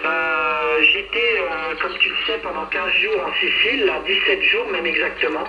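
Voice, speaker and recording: High-voiced man chatting over echoey radio. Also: normal speed. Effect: two-way radio transmission. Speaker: amateur radio operator. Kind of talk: casual radio contact chat. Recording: roomy, distant, dull noisy radio transmission.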